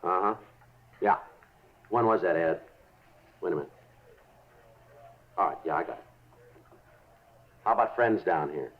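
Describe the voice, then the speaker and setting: A muffled 1950s radio recording of a police detective. His voice is low, and he talks in short, plain, workmanlike phrases with a businesslike temper. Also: animated. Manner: brief, brisk acknowledgements, plain and workmanlike. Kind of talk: 1950s radio drama acting.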